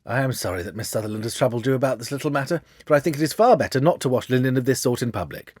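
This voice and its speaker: A man's voice: medium pitch, racing, a little mellow, no room sound, clean studio sound, close to an everyday voice. A man voicing an evasive, insinuating villain of about thirty in a storybook, with a fussy, polite, self-excusing manner.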